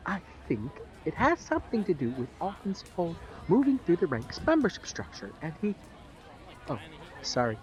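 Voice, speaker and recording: An actor plays an eager, starry-eyed man with a fairly high voice and very lively intonation. He makes earnest, gushing small talk as a true believer in an audio drama. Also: normal speed. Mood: gushing admiration. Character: eager, starry-eyed male devotee of an organization.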